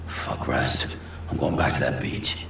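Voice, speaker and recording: An actor playing a gruff, world-weary courier hero in a video game, blunt and terse with a gravelly voice. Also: male voice, extremely low pitch, unhurried, recorded from a distance, full character voice. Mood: defiant. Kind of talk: video game cutscene acting. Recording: roomy, slightly noisy, off-mic.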